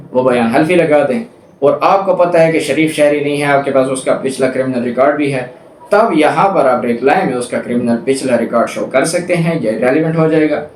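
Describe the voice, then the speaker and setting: A man with a Pakistani accent giving an explanatory lecture in a didactic way, repeating points for emphasis. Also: a little high. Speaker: law lecturer. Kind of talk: explanatory lecture, reading statutes and paraphrasing them. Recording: echoey room with faint background noise.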